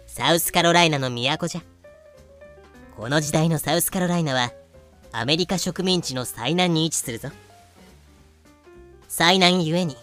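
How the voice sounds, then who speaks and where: A woman's voice: sits low, a bit bright. A woman playing a proud female merchant in an audio drama, lecturing in haughty, old-fashioned speech. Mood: proud, instructive. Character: proud, archaic-talking female merchant from colonial Carolina. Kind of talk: in-character explanation in a voice drama.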